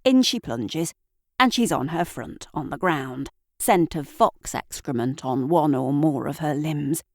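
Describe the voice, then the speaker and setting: A woman narrating an audio drama in a deliberate, theatrical storytelling manner, with dramatic pauses. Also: low voice, expressive, no echo, studio quality. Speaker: narrator and writer.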